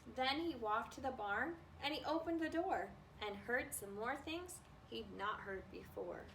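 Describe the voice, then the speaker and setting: A woman reading a children's storybook aloud in an expressive manner, as a librarian does at story time. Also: fairly high pitch, a little slow. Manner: expressive, acts out animal noises. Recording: distant mic with faint background noise.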